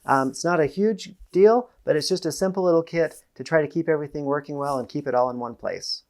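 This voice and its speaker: A man's voice: on the high side, brisk, a little mellow. A middle-aged American man hosting a product demonstration to camera, explaining things in a plain-spoken way. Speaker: host. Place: small indoor room with panelled wall. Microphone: lapel mic.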